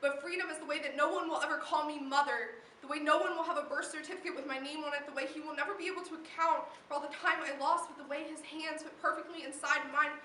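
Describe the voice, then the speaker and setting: High, bright teenage girl performing slam poetry. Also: steady tone, fast talker, hardly any pauses. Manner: dramatic, emphatic recitation with long cascading lists. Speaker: slam poet. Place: live poetry event, recorded from a distance. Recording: distant echoey room recording with noise.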